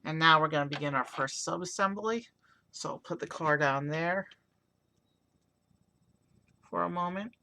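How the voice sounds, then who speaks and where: A hoarse man with a raspy voice casually narrating a toy car build on a stream, rambling with many fillers. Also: a little high, measured pace, pauses often, bright, forceful tone, a little hiss or hum. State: hoarse from overuse. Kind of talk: casual live-stream commentary.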